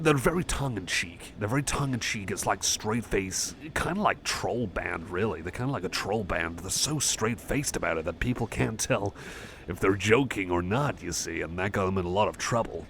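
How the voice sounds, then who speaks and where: A man playing a shy, friendly cyborg music fan, chatting softly and hesitantly in a casual ASMR roleplay. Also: deep voice, expressive, normal speed, a little hiss or hum. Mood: shy, enthusiastic. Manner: hesitant, rambling, self-effacing.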